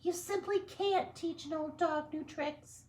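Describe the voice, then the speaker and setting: A woman voicing a fussy, proper female mouse scientist in a storybook read-aloud, speaking high and grave. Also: steady tone, measured pace, darkish tone. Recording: echoey room, faint room noise.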